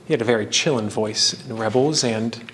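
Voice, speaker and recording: A man giving casual, rambling commentary as the host of a hands-on craft video, with a halting delivery that meanders and trails off between thoughts. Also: fairly low pitch, a bit bright, a little hiss or hum. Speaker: host of a painting and fan trivia video.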